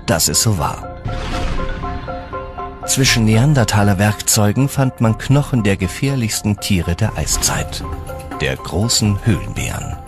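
A man narrates a documentary in over clearly audible music. His voice sits on the low side and moves with lively intonation. The delivery is measured and dramatic, like scripted storytelling. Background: background music.

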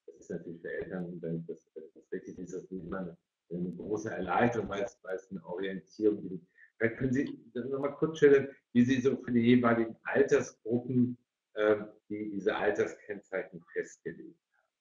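A man's voice: on the low side, fairly quick, a little mellow. A middle-aged man moderating an online Q&A and putting questions to panelists over a video call. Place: indoor room, video call. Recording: roomy, echoing, dull video call.

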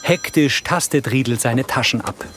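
A man reading scripted scene narration in a measured, neutral delivery. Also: mid-range voice, a bit bright, a little hiss or hum. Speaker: audio description narrator.

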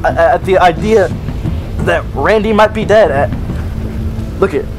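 A man's voice: high-pitched, unhurried, background noise. A man playing a hot-tempered, grief-stricken survivor in an improvised zombie roleplay skit, swearing and repeating himself as he argues. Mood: defensive. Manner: profane, argumentative, repeats himself.

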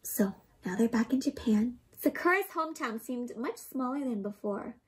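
A young woman doing an interactive storybook read-aloud for children, expressive and gently teacherly, often putting questions to her listeners. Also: fairly high pitch, normal speed, breathy edge. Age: young adult. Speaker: children's storybook reader and host. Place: small indoor room with books and plants.

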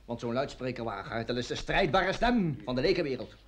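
A man's voice: medium pitch, expressive, brisk, close to an everyday voice. A man playing an argumentative, wordy village schoolmaster in a radio play, pedantic and grandiloquent. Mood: needling, indignant. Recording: old radio recording, background hiss.

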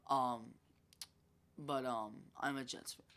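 A man's voice: mid-range voice, steady tone, takes it slowly, a bit bright, smooth. A young man with a congested voice, chatting casually as he comments on his own football video game match. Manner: chatty, rambling, filler-heavy. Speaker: gaming YouTuber.

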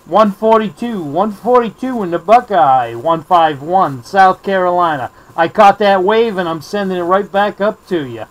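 High-pitched American man on CB radio. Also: moderate pace, few pauses, low-quality recording. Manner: friendly, courteous sign-offs. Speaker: CB radio operator, video maker. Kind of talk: CB radio contact chat, call signs and sign-offs. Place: indoor radio desk.

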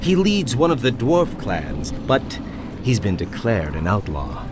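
Lively, good-natured soldier. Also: male voice, fairly low pitch, unhurried, background noise. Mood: earnest. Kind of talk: video game cutscene acting.

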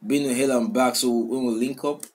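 A man's voice: mid-range voice, steady tone, fairly quick, darkish tone. Hesitant young man reacting to a song. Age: young adult. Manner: hesitant, filler-heavy, repeats lyrics back. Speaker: music reaction host. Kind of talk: casual song reaction commentary. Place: small room indoors. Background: song playing in the background.

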